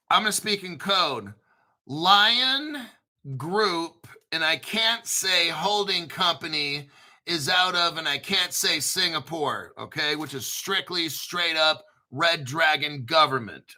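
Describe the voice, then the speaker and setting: A man's voice: fairly high pitch, lively intonation, slow, sharp tone. A man hosting his own crypto channel, talking casually and conspiratorially, spelling out names letter by letter and swearing now and then. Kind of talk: casual solo commentary.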